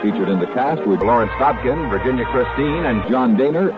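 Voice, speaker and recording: A man's voice: medium pitch, lively intonation, fairly quick. An American man reading scripted closing credits as a radio show announcer on an old recording. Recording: dull old radio recording, music behind.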